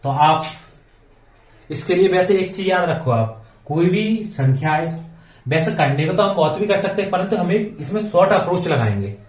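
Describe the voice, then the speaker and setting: A man with a slightly high voice gives an informal maths lesson for exam students. He works step by step, repeating himself and checking in often. The recording is roomy and echoey, with him some way from the microphone. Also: expressive, normal speed. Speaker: maths teacher for exam preparation. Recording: echoey room, distant microphone.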